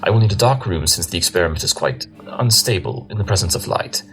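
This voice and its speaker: A man's voice: low voice, animated, quick pace, a bit bright. A man playing an arrogant, brilliant cartoon pony detective, speaking in a pompous, wordy and precise way. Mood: brisk, lecturing. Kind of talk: scripted audio drama acting.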